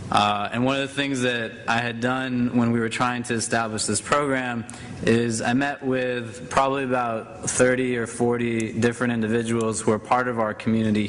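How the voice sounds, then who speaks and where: A man's voice: medium pitch, slight background noise. A man moderating a panel, speaking conversationally to a live audience in a lecture hall and making self-deprecating jokes. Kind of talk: conference panel introduction.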